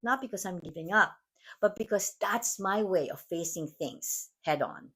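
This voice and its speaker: Husky Filipino-accented woman host. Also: mid-range voice, animated, pushed and bright. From Philippines. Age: adult mom. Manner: earnest, measured, reflective delivery. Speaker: podcast host. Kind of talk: scripted motivational monologue.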